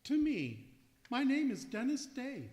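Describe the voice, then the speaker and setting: Woman doing a naive polite young guy, old radio comedy, deadpan but sing-songy, echoey hall. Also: high voice, measured pace, heavily acted. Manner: innocent, earnest, deadpan. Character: naive, polite young man shopping for his mother. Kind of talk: old-time radio comedy stage acting. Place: live performance in a museum hall. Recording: reverberant hall, live stage recording.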